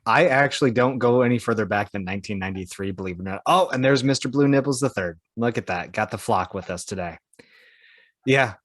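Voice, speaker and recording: A man's voice: fairly low pitch, fairly quick, fairly bright tone, dry recording, studio quality. A man hosting a casual podcast, easygoing and asking his co-hosts questions. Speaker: podcast host. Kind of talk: casual chat.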